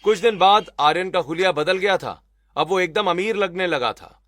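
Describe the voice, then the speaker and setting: Bright-voiced man narrating a story. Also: sits high, normal speed, faint music behind. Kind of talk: scripted cartoon story narration.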